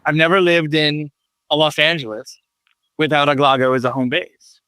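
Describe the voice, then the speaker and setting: A man's voice: on the high side, normal speed, bright, forceful tone, clear, resonant tone, no room sound. A man speaking reflectively and with emphasis in an interview. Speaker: member of the house's community, interviewee.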